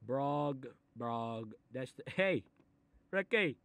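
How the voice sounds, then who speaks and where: A man's voice: mid-range voice, animated, drawn-out words, fairly bright tone, no room sound, full character voice. Guy doing an italian-american mobster boss, grumpy and sarcastic, muttering threats while reading a kids book, comedy bit. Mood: agitated, nostalgic. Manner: gruff, threatening, muttering asides, exasperated. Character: short-tempered, sarcastic Italian mob boss babysitting a kid. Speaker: mob boss character reading a picture book aloud. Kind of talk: comic improvised character monologue.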